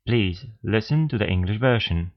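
A man co-hosting a scripted beginner language lesson podcast, steady and explanatory as he prompts listeners, on a slightly dull lo-fi recording. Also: on the low side, normal speed. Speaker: language teacher, podcast co-host.

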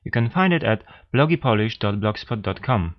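A man co-hosting a scripted beginner language lesson podcast, steady and explanatory as he prompts listeners, on a slightly dull lo-fi recording. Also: lowish voice, normal speed. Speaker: language teacher, podcast co-host.

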